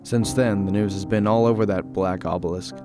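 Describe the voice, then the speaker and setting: A man's voice: on the low side, steady tone, brisk, music in the background, close to an everyday voice. A man playing a bitter, world-weary former soldier bent on revenge, brooding in an audio drama. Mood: somber. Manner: brooding, monologuing. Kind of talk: audio drama narration and dialogue. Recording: loud background noise, vehicle ambience.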